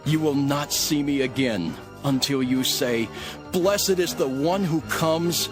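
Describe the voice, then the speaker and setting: A man playing a solemn, earnest prophetic teacher in a dramatized audio Bible, speaking in a measured, dramatic way. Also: on the high side. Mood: sorrowful, impassioned. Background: music.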